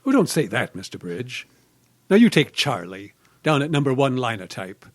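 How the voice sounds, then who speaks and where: An actor playing a mild, hesitant old newspaper columnist, flustered and deferential, in an old-time radio drama. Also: male voice, mid-range voice, big pitch swings, moderate pace, no room sound. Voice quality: hesitant, stammering when flustered. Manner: genial, meandering, absent-minded. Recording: live stage recording with background noise.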